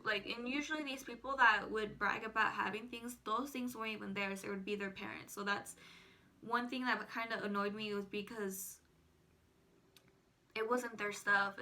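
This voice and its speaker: A woman's voice: mid-range voice, gravelly, pushed and bright. An eighteen-year-old woman, a native Spanish speaker, chatting casually to viewers as a vlogger. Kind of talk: casual personal chat to viewers.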